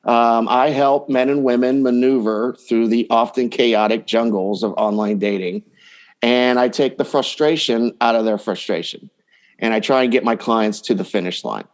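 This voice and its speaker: A man with a voice of average pitch speaks as a guest coach on a podcast. He explains things in a casual, conversational interview style. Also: moderate pace.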